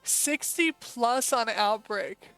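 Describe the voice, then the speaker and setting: A woman with an American accent, casually chatting through a live stream and thinking aloud with quick asides. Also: a little high, lively intonation, a bit bright, smooth, faint music behind, low-quality recording. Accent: American English.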